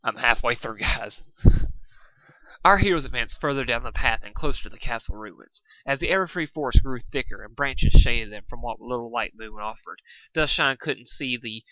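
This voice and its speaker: A man's voice: mid-range voice, moderate pace, bright, forceful tone, no room sound, lo-fi sound. A man reading fan fiction aloud in an informal storybook style, stumbling over words and repeating phrases as he goes. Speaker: amateur fan fiction reader. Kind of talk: informal storybook narration with asides.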